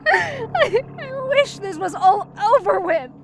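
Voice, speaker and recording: Woman playing a high-pitched, desperate, frightened victim. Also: very animated, extremely slow, music in the background, exaggerated voice. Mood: tearful, accusing. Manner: pleading, stammering. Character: frightened, desperate female victim pleading with her tormentor. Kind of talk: horror audio drama acting.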